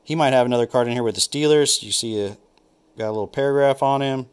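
A man's voice: mid-range voice, fast, smooth. A man opening packs of football trading cards, thinking aloud and naming each card as he flips through them. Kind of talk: casual unboxing commentary.

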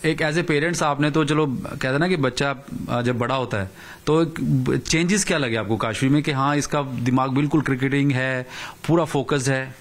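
Man, thirties, sports reporter, conversational interviewing, mid-pitched voice. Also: moderate pace. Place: small living room at home, indoors. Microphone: handheld reporter microphone.

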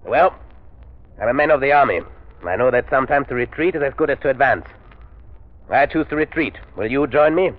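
An actor playing a scheming, megalomaniac army villain in a 1930s radio drama, with a theatrical, formal and sardonic delivery. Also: male voice, mid-range voice, fairly quick, long pauses, natural voice. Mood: grandiose, urging. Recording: muffled 1930s radio broadcast recording.

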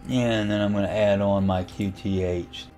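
A man giving a casual, unhurried screen tutorial, thinking aloud as he goes. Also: fairly low pitch, fairly even intonation, dark tone, faint music behind. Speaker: amateur radio tutorial host. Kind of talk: casual screen-recorded software walkthrough.

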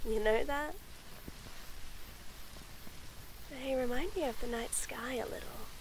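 A woman's voice: sits high, noisy background. A woman voicing a shy stammering tomboy friend. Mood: tender. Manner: stammering, halting, soft. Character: shy tomboy female friend, young adult, nervous and sweet. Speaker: voice actress. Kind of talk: scripted audio roleplay.

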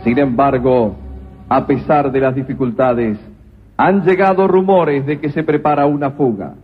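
A man narrating a radio comedy drama in a grave, deadpan way. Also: medium pitch, a little slow, husky. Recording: muffled radio broadcast with music.

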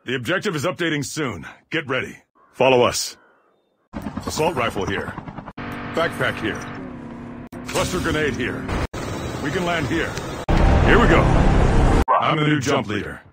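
An actor voicing a gruff, aggressive soldier giving terse, commanding squad callouts in a military video game, with a rough, husky voice. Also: male voice, medium pitch, very animated, a little slow, pauses often, loud music behind the voice. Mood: brisk, matter-of-fact. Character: gruff armored male soldier, aggressive squad mate in combat. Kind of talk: video game combat voice lines.

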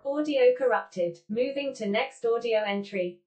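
Woman as impassive female computer, fairly high pitch, procedural announcer, audio drama, noisy clicks. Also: a little slow, close to an everyday voice.

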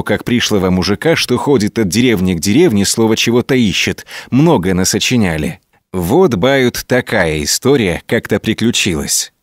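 Male storyteller, folksy, colloquial, medium pitch with lively swings, dry close sound, folk tale narration. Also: no room sound. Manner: folksy, colloquial, expressive telling.

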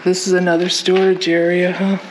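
A woman quietly exploring an abandoned building, talking casually and cautiously while filming. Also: lowish voice, completely flat delivery, brisk. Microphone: handheld camera. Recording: noisy handheld camera audio.

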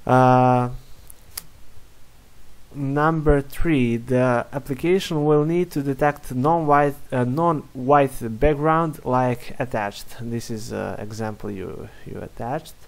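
Serbian guy demoing his app, slow and halting, lots of uhs, gravelly voice. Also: medium pitch. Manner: halting, many fillers and restarts. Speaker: software developer. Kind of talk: screen-recorded software demo.

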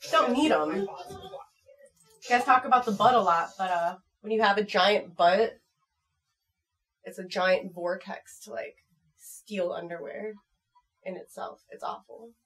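A woman's voice: medium pitch, lively intonation, slow, long pauses, a bit bright, rough recording. An American woman in her twenties chatting casually to viewers in a playful, frank and slightly crude way. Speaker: channel performer reading viewer comments. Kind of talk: casual vlog chat. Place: small home room at computer desk.

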